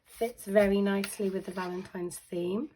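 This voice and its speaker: A British woman with a croaky voice hosting a casual craft tutorial, chatty and full of ums as she explains each step. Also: mid-range voice, fairly even intonation, moderate pace, warm tone, slight background noise, rough recording. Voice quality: croaky, with a lingering cough. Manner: chatty, with frequent ums and self-corrections.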